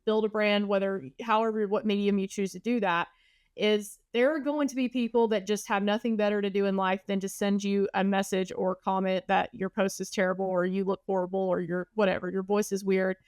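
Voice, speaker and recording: A woman with a Southern accent hosts a podcast in a mid-pitched voice. She talks in a chatty way, often answering her own questions. The sound is dry and close to the mic. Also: flat delivery, fairly quick, a little mellow, smooth, no room sound. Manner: chatty, asks rhetorical questions. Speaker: podcast host. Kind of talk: casual interview talk.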